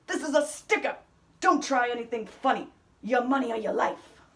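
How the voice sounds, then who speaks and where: A woman's voice: a little high, normal speed, a bit bright, voice pushed up, close to an everyday voice. A woman doing an eager eight-year-old boy. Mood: mock-tough.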